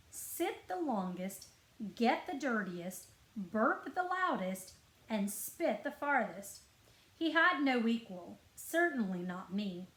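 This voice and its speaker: A woman reading a children's story aloud as a librarian would, expressive and deliberate, drawing out key words. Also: a little high. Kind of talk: expressive scripted story narration. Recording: roomy, echoing indoor sound.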